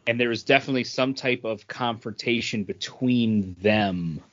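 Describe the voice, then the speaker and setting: A man's voice: mid-range voice, moderate pace, a bit bright, lo-fi sound. A man in casual discussion as a podcast co-host, explaining and thinking aloud. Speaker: comic book podcast co-host. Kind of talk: casual book discussion chat.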